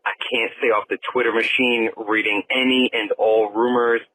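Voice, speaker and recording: A man's voice: medium pitch, little pitch movement, normal speed, bright, forceful tone. A man from Pennsylvania leaving a casual message as a caller on a noisy phone voicemail. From Pennsylvania, United States.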